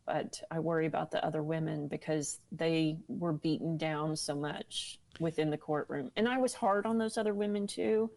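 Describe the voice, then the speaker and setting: A woman's voice: on the low side, a little mellow. A woman speaking earnestly and seriously as an interview guest, giving personal testimony.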